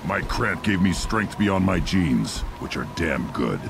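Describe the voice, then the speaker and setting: A man playing a young, proud, belligerent alien warrior in a video game, blunt and cocky with a very deep growl. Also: sing-song, measured pace, gravelly, background noise, full character voice. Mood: eager, proud. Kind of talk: dramatic video game acting.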